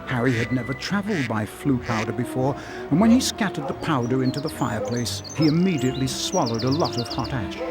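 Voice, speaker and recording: A man's voice: medium pitch, lively intonation, a little fast. A man reading scripted storybook narration as the narrator of a game. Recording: music under the voice.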